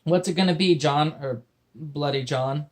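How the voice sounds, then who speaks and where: A man's voice: a little high, a little slow, natural voice. A man voicing a weary young man bargaining with a captor in a dry, matter-of-fact way.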